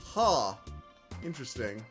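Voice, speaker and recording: A man streaming a video game, chatting excitably and reading the game text aloud, laughing often, with a slightly whiny voice. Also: mid-range voice, slow pace. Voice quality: self-described whiny voice. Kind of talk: casual let's-play commentary, reading game text aloud. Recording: game music behind.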